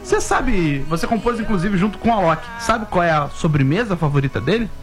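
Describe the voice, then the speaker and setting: A man's voice: high voice, big pitch swings, brisk, over music. A man hosting a talk show, joking and teasing his guest. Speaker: host. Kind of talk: casual talk show chat.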